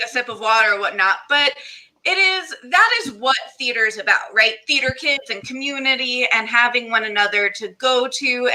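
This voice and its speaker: Female interviewer, very bright forceful tone, high voice, effusive and earnest. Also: rough recording. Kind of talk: casual enthusiastic interview hosting.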